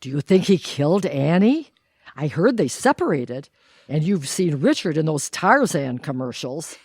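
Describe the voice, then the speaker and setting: A gossipy, suspicious neighbour woman from a comic radio play, played by an actress. Her pitch moves animatedly and the sound is dry. She sounds conspiratorial and insistent. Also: big pitch swings, measured pace, a little mellow, breathy edge, dry recording, full character voice. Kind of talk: audio theatre comedy acting.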